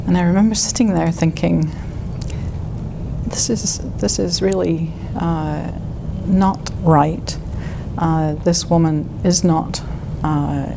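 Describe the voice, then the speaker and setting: A woman in her sixties from the United Kingdom, recalling her past in an interview and pausing often to search for words. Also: sits low, vocal fry, noisy background. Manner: thoughtful, hesitant with many fillers. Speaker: interviewee, physician. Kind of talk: oral history interview.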